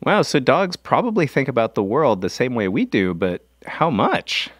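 A man's voice: mid-range voice, very animated, normal speed, dry recording, clean studio sound. A man co-hosting a podcast, playful and curious, asking questions in casual banter. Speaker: podcast co-host.

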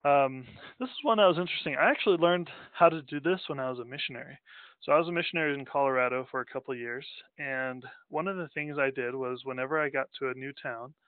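A man from Denmark talks through a business webinar in a loose, unscripted way, stopping for fillers and starting his sentences over. His voice sits at an ordinary middle pitch. The sound is thin and phone-like, with no room echo at all. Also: quick pace, clean tone. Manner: casual, rambling, with many fillers and restarts. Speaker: company president presenting a webinar. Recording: tinny phone-like audio, dry.

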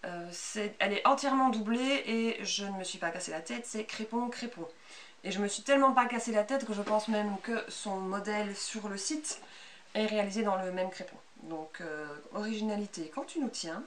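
A woman's voice: mid-range voice, normal speed, a bit bright. Belgian woman chatting, a bit far from mic. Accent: Belgian French. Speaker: sewing vlogger presenting handmade clothes. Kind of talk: casual, unscripted show-and-tell. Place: small bright indoor sewing room. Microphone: camera a couple of metres away. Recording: distant camera mic with background noise.

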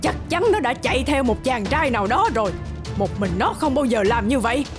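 A woman's voice: fairly high pitch, lively intonation, fairly quick, music in the background, close to an everyday voice. A woman voicing a cruel, commanding old witch in a fairy-tale cartoon. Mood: angry. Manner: commanding, scolding. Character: cruel old witch, bossy guardian of a captive girl.

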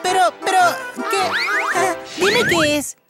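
Very high, excitable little boy. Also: slow pace, over loud music. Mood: amazed. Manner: wide-eyed, exclamatory. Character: excitable, candy-greedy little birthday boy. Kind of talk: children's puppet show dub.

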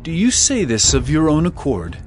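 An actor playing a calm, resolute prisoner in a scripture drama, speaking in a measured, earnest, solemn way. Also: male voice, on the high side, faint music behind. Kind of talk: dramatized scripture audio acting.

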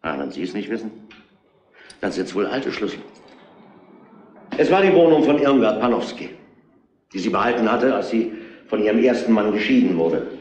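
An actor playing a gruff, domineering police inspector who deliberately lays out a case in a retro crime radio play. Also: male voice, on the low side, sing-song, darkish tone, reverberant. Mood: stern.

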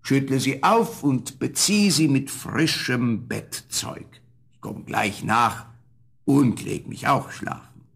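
A man's voice: medium pitch, very animated, fairly bright tone, exaggerated voice. An actor playing a kindly, grumbling, very old man in a 1970s fairy-tale audio play. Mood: soothing. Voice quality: gravelly, husky. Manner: slow, grumbling, good-natured.

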